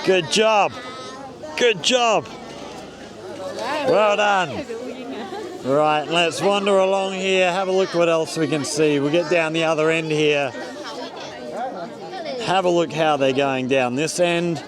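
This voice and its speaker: A man's voice: on the high side, slow, long pauses. An Australian man casually narrating a walk-around vlog at an outdoor festival, with easygoing tour-guide commentary. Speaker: vlogger. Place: outdoors at a busy public festival. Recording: loud crowd noise outdoors. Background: crowd chatter.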